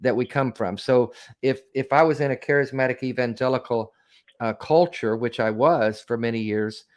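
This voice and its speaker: A man with a Southern US accent hosting a call-in show, explaining things in a measured, conversational way. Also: medium pitch. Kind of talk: casual discussion, explaining.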